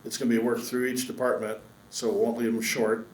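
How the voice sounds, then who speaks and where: A man's voice: medium pitch, flat delivery. Man chairing an echoey public meeting. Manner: measured, with frequent fillers and restarts. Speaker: county board chair. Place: council chamber. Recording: echoey meeting room with faint hum.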